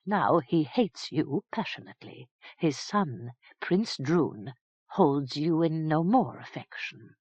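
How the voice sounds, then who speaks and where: An audiobook narrator voicing a sly, scheming priest who talks in a smooth, ingratiating way. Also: mid-range voice, lively intonation, takes it slowly, no room sound, muffled recording. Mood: confiding. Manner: smooth, ingratiating, bleating when alarmed.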